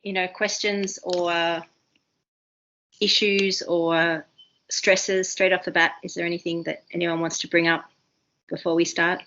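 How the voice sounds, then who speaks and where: Aussie woman tutoring a seminar over a video call, fast and excitable, lowish voice, kinda dull muffled audio. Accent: Australian. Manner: fast, excitable, many ums and restarts. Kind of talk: online seminar teaching.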